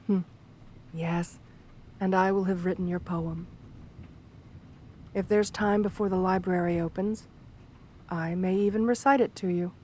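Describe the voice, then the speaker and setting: A woman voicing a shy, lonely, romantic gargoyle girl in a gentle ASMR roleplay, speaking tenderly with formal, bookish wording. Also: on the low side, fairly even intonation, a little slow, long pauses, slight background noise, natural voice. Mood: tender, soothing. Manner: tender, soft-spoken, formal old-fashioned wording.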